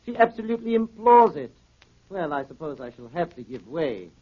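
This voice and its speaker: An actor playing a pompous, sardonic gentleman villain in 1930s radio style, scornful and combative. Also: a little high, big pitch swings, a little fast. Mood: smooth, sardonic. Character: blustering, sardonic gentleman villain, master of house. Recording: dull 1938 radio broadcast recording.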